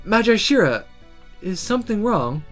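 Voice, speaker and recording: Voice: medium pitch, sing-song, normal speed, soft background music, voice pushed up, natural voice. A game streamer voicing an earnest, exasperated young magic student in a visual novel, with emotional delivery. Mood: worried, pleading.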